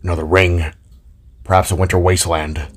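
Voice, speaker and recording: A man's voice: deep voice, little pitch movement, fairly quick, pitched below the performer's own voice. A man voicing a dour, weary older father in a fantasy story, grave and muttering. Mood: dour, brooding.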